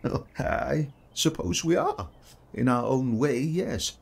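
An actor playing a kindly, modest portrait photographer in a period audio drama, polite, reassuring and slightly hesitant. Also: male voice, medium pitch, big pitch swings, takes it slowly, creaky, slightly rough, exaggerated voice.

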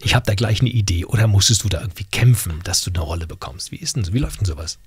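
Husky, low-voiced male radio host. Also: lively intonation, very fast, dry recording. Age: middle-aged. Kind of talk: conversational radio interview. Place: small radio studio. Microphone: close studio microphone on boom arm.

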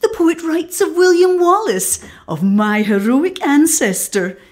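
A woman's voice: high-pitched, big pitch swings, a little mellow. A woman playing a proud, strong-minded, aristocratic middle-aged widow with an imperious manner in a radio play. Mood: moved, excited. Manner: imperious, declamatory, deliberate. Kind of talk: radio drama acting.

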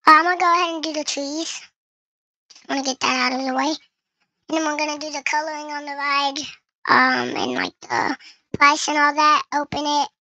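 Young kid doing casual game commentary, really high bright voice, voice changer on. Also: moderate pace, no echo, rough recording. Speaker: gaming video creator.

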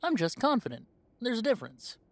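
A man's voice: very high-pitched, wildly swooping pitch, fairly quick, no room sound, full character voice. A man playing an easygoing, confident young werewolf in a roleplay audio drama, talking in a chatty, hesitant, conversational way. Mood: amused, self-assured. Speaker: voice actor.

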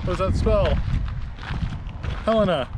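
A man's voice: high voice, unhurried. Playful young man, wind-blown outdoors. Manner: playful cheer-style spelling out letters. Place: outdoor park path. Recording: loud wind noise on the mic outdoors.

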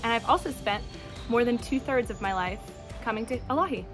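A woman's voice: a little high, expressive, brisk, music in the background. A young woman cheerfully introducing herself outdoors as a kayaking trip leader. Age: young adult. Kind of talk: casual self-introduction. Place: outdoors under trees.

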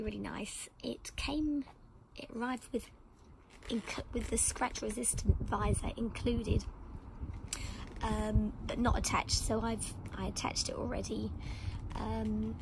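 A woman from the UK who has lost her voice, talking hoarsely and often in a whisper. She chats in a rambling, casual way with many ums. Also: on the high side, background noise. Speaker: motorbike vlogger. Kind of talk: casual product unboxing. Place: outdoor garden table.

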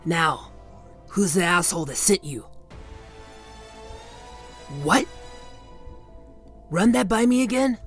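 Casting: male actor as cocky, commanding mob boss, teasingly protective, deliberate slow delivery, roleplay drama over a music bed. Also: high-pitched, animated, exaggerated voice. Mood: angry, commanding. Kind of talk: ASMR roleplay audio drama. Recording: loud music bed under voice.

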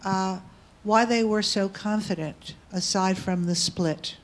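Mid-pitched woman questioning a panel. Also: takes it slowly, slight background noise. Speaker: audience questioner. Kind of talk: spontaneous question from the audience. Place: auditorium audience.

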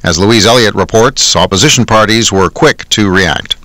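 Man, Canadian accent, news anchor, scripted delivery, lowish pitch, forward bright voice. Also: normal speed, dry recording. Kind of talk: scripted hourly newscast reading.

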